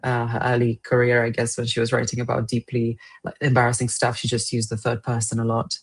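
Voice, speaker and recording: A young woman poet raised speaking Romanian at home, answering interview questions thoughtfully with pauses and soft laughs. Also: rumbling low, completely flat delivery, breathy edge. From Romanian family, raised partly in England. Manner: thoughtful, hesitant, laughs often. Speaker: poet guest.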